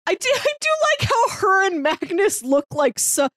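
A woman's voice: very high voice, sing-song, moderate pace, a bit bright, no room sound, clean studio sound. A woman co-hosting a casual review show, talking in an informal, conversational way. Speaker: podcast host.

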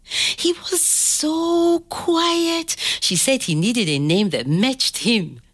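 An older woman telling a family story to a child, slow and expressive, pausing to ask gentle questions. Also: high voice, slightly rough. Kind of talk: oral history storytelling to a child.

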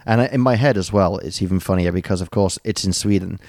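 A man's voice: deep voice, quick pace, a little mellow, no echo, clean studio sound. A man co-hosting a podcast, chatting casually and rambling through a story with plenty of ums and jokey asides. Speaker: podcast co-host. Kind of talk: casual chat.

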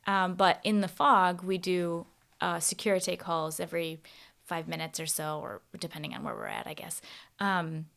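A woman's voice: on the low side, fairly even intonation, normal speed, gravelly, a bit bright. A woman hosting a casual interview, talking informally in meandering, wordy sentences. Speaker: podcast host.

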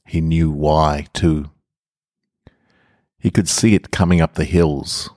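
A man with an extremely low voice narrates short fiction as a podcast host. He moves between casual introductions and scripted prose. The sound is close, with very little room. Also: steady tone, takes it slowly, a little mellow, no echo. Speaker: podcast host and story reader.

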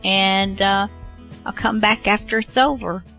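Female radio host, casual and halting, slow bright voice with vocal fry. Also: medium pitch, fairly even intonation, soft background music. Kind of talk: casual show introduction.

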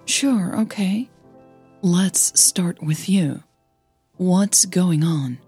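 A woman playing a cool, guarded older female coach, measured and reluctant, in an audio drama. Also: on the low side, mellow, husky, no room sound, faint music behind, heavily acted. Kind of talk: audio fiction dialogue.

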